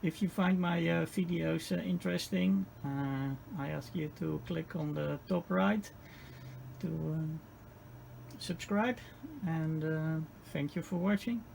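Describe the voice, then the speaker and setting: Male tutorial host, strongly gravelly creak, halting step-by-step technical delivery, noisy home-made sound. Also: fairly high pitch, steady tone, darkish tone. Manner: halting, piecemeal explaining with repeated phrases. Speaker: radio repair tutorial host. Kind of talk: unscripted hands-on technical demonstration.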